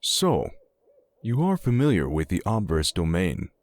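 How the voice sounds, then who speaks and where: An actor playing a contemplative druid traveler in a fantasy audio drama, speaking in a formal, measured, musing manner. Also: male voice, deep voice, wildly swooping pitch, dry recording, full character voice. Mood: curious, musing.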